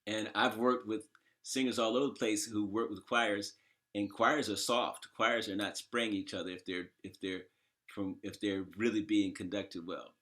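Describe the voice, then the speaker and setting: A man hosting a discussion, talking off the cuff in a halting, emphatic and opinionated way. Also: on the low side, fairly even intonation, fairly bright tone. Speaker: host interviewer. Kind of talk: conversational, off-the-cuff commentary.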